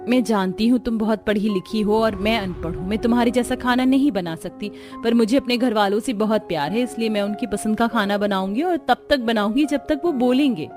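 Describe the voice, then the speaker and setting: A woman's voice: a little high, brisk, lightly acted. A woman voicing a humble, caring young village daughter-in-law in a cartoon story, speaking sincerely. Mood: humble resolve. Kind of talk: cartoon story character acting. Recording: music under the voice.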